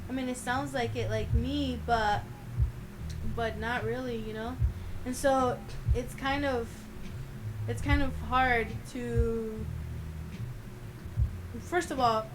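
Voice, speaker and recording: A woman from Mexico co-hosting a casual podcast, telling stories in a lively, teasing way and laughing often. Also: fairly high pitch, slow pace, pauses often, noisy background. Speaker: podcast co-host. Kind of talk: casual chat.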